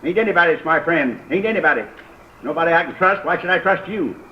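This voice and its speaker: A man acting as a distraught, self-pitying gunman with a high, agitated voice, repeating himself in rough folksy grammar. It is old radio crime drama, muffled with faint noise behind. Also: expressive, quick pace, natural voice. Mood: suspicious, desperate. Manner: agitated, repetitive, ungrammatical folksy speech. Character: distraught paranoid gunman, cornered, self-pitying, uneducated drifter.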